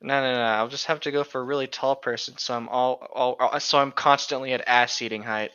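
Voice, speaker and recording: A man's voice: medium pitch, steady tone, fairly quick, bright, forceful tone, smooth. A young man co-hosting in casual, sarcastic, profane banter, recorded on a lo-fi home mic. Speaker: co-host reading a book aloud with commentary. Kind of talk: casual joking chat.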